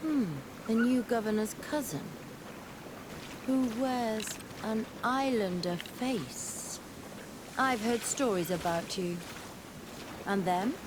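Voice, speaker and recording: A woman's voice: on the high side, slow pace, long pauses. A woman playing a guarded, self-assured scholar in a video game, sardonic and articulate with formal phrasing. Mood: guarded, dry. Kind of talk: video game cutscene acting. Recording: clear ambient noise behind.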